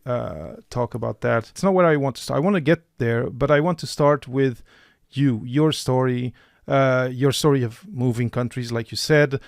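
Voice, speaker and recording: A man hosting a podcast interview, speaking in a halting, encouraging way with many restarts. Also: medium pitch, creaky, dry recording, studio quality. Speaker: podcast host.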